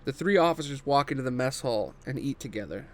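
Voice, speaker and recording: A man's voice: medium pitch, lively intonation, a little fast. A man narrating scripted stage directions at a table read.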